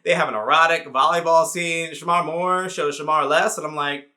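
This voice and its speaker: A man in his thirties giving a casual, comedic movie review, rambling excitedly with swearing and jokey asides. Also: a little high, moderate pace. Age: 30s.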